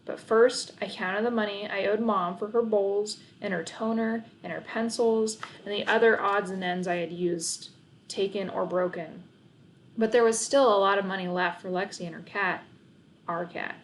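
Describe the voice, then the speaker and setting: A woman reads a children's book aloud. Her voice sits at an average pitch, and she narrates expressively, in the manner of a storybook read-aloud. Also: fairly even intonation, normal speed, slight background noise, low-quality recording.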